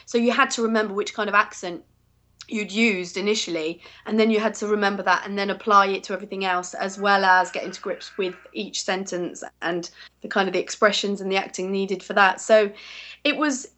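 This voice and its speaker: English woman, interview guest, casual and rambling, mid-pitched. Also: steady tone, a little fast, bright, forceful tone. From England. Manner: rambling, many fillers and restarts.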